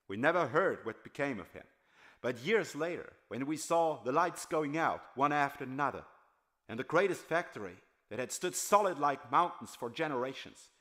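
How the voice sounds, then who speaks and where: A man's voice: on the high side, a bit bright, pitched above the performer's own voice. Man voicing a haunted, hesitant former factory worker. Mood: solemn, haunted. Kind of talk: dramatized audiobook monologue.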